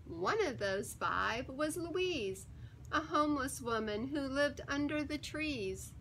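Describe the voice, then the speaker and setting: A woman reading a children's picture book aloud in an expressive, deliberate and emphatic storytelling manner. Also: sits high, slight background noise, rough recording. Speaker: story time reader.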